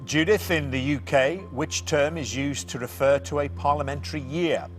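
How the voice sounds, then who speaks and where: A man hosting a television quiz show, reading the scripted intro and questions clearly and bantering with the contestants. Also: on the high side, steady tone, loud music behind the voice. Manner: jovial, reads questions deliberately, chuckles at his own puns.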